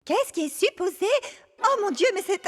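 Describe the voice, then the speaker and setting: A woman voicing an excitable, dramatic royal lady in a cartoon. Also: very high-pitched, normal speed, in a large hall. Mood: agitated. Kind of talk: French cartoon dub acting.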